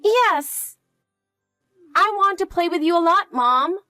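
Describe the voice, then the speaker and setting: A woman's voice: very high voice, lively intonation, slow pace, bright, forceful tone, no echo, clean studio sound, soft background music, voice pushed up, exaggerated voice. A woman voicing a frightened little girl who clings to her mother with pleading questions. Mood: eager. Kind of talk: dramatic dialogue.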